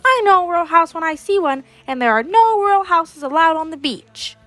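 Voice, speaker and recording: A woman's voice: extremely high pitch, animated, normal speed, fairly bright tone, dry recording, soft background music, pitched above the performer's own voice. A young woman voicing a bossy, scoffing contest judge in a picture book, blustery and emphatic.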